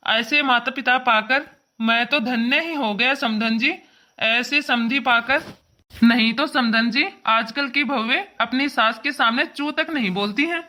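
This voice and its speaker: A woman's voice: sits high, sharp tone. A woman voicing an indignant, protective father who lectures emphatically in a cartoon family drama. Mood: sarcastic praise. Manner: emphatic, lecturing, repetitive scolding.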